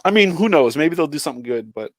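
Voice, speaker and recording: A man's voice: a little high, expressive, quick pace, dry recording. A man co-hosting a podcast in casual banter, talking in a slangy way and swearing freely. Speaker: podcast co-host.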